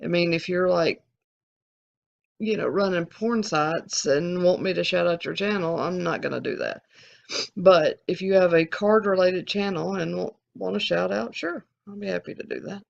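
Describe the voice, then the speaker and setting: Casting note: Alabama drawl, gravelly edge, chatty self-recorded vlogger, dull lo-fi sound. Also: medium pitch, normal speed. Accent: Southern US, Alabama. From American South. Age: adult, collecting since the eighties. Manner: rambling, candid, frequent restarts. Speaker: sports card channel host. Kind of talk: casual Q&A to viewers.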